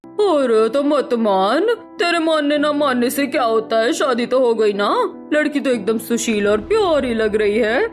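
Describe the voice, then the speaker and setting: A woman's voice: on the high side, expressive, measured pace, faint music behind. Woman acting a blunt, feisty cartoon aunt. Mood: defiant. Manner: brusque, threatening, confrontational. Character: blunt, feisty aunt, protective daughter of the house.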